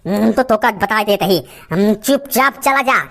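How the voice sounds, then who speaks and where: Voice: mid-range voice, a little slow, vocal fry, fairly bright tone, a little hiss or hum. A performer voicing a cantankerous, lazy village uncle in an Awadhi comedy cartoon, scolding and rambling with stubborn, abusive bluster. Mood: defiant. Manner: blustering, scolding, rambling rants full of abuse.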